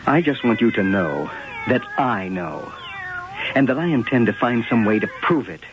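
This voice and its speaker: An actor playing a blunt, determined police lieutenant in an old radio drama, businesslike, on a dull, hissy recording. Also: male voice, on the low side, big pitch swings, normal speed. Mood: stern, accusing.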